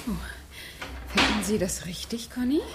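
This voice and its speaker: An actress playing an outwardly solicitous, quietly controlling woman who runs a household, polite and fussy, in a 1970s German radio crime drama. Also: medium pitch, moderate pace, very noisy, close to an everyday voice. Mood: polite, reproving.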